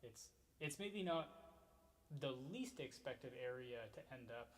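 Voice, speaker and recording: A man, a classical guitarist, chatting casually with his duo partner in a rehearsal and pausing often to find his words. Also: medium pitch, animated. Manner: hesitant, many ums and restarts.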